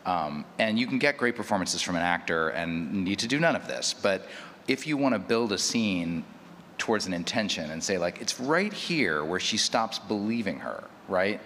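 A man with a very low voice speaks as a panelist in an unscripted discussion. He explains thoughtfully and hesitantly, with long hall reverb and background noise around him. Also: expressive, moderate pace, a bit bright. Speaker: panelist, audio drama producer.

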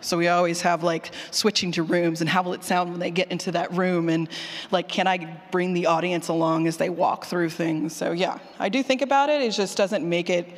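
A speaker giving an informal conference talk on writing, with animated asides and questions repeated back to the audience. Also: medium pitch, normal speed. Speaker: presenter, fiction podcast writer. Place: conference session room. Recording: hall with long reverb.

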